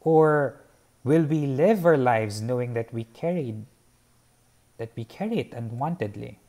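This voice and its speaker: A young man from the Philippines talks through his painting in a slow, earnest, formal way, his voice sitting at an ordinary middle pitch. He speaks from a fixed camera a short way off on an open garden patio. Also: expressive, mellow. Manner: measured, earnest, formal wording. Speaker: painter explaining his artwork. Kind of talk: reflective artist talk. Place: covered patio by a garden, outdoors.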